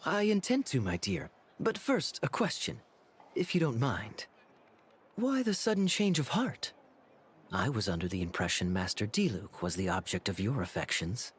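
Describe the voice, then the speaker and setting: A man voicing a suave, courtly knight captain in a video game, teasing in a husky, breathy drawl. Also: mid-range voice, very animated, a little slow, long pauses, a little hiss or hum. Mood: amused, teasing. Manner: smooth-talking, courtly, drawling. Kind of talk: video game character acting.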